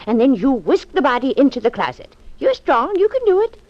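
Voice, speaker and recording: A woman's voice: sits high, very animated, fairly quick, no echo. A spry, meddling old lady sleuth. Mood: scheming. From Muncie. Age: older. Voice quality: chirpy, fussy, quaintly prim. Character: spry, meddling old woman hooked on detective stories. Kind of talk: 1940s radio acting. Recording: dull lo-fi 1940s radio broadcast.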